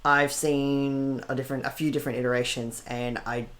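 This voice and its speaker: A man's voice: mid-range voice, level tone, moderate pace, a bit bright. A man with an Australian accent, chatting informally as a voice actor being interviewed. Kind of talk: casual chat.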